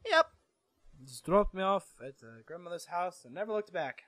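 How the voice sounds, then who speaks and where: A man's voice: high voice, expressive, moderate pace, a bit bright, no echo. Guy reading as a snarky half-french young dude, swoops around a lot, dry close sound. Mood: wry. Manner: sarcastic, mock-grand, drops French curses. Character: young half-French man, sardonic and guarded.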